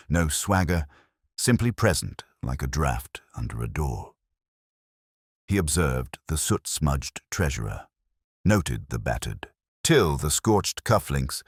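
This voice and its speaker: Very deep man narrating a mystery. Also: sing-song, slow pace, dry recording, studio quality. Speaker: narrator reading a mystery story. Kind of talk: scripted narration of a genteel comic mystery.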